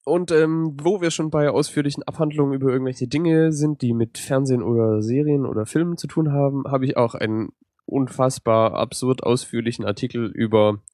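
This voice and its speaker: Guy with a cold rambling on a podcast, mid pitch, dry sound. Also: brisk, dry recording. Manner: relaxed, rambling with fillers. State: has a cold. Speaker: podcast co-host. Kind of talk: casual podcast chat.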